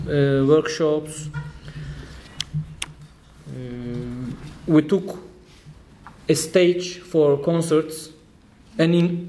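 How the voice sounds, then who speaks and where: A man from Turkey with a Turkish accent, giving a speech at an event, telling a story with light humor and many pauses. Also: on the high side, a little mellow, slight background noise. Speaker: trade union official giving a talk.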